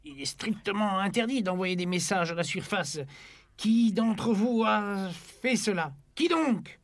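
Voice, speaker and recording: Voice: medium pitch, animated, unhurried, natural voice. An actor playing a worried, rule-bound inhabitant of an undersea kingdom in a dubbed cartoon, protesting hesitantly. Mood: stern. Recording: music bed under the dialogue.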